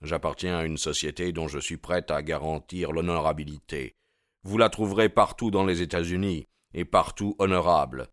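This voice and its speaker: A man's voice: low-pitched, normal speed, fairly bright tone, dry recording, studio quality. A man voicing a bold, ardent young man in an audiobook, proud and insistent in his delivery. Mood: indignant. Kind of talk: audiobook character dialogue.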